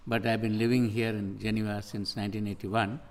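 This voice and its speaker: A man's voice: fairly low pitch, flat delivery, a little fast. A man in his sixties from Sri Lanka, a retired professor, introducing himself with hesitant, self-deprecating humor. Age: 60s.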